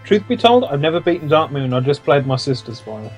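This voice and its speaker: A man's voice: a little high, very animated, fast, soft background music, low-quality recording. A man joining a casual game podcast as a guest, making dry, joking remarks.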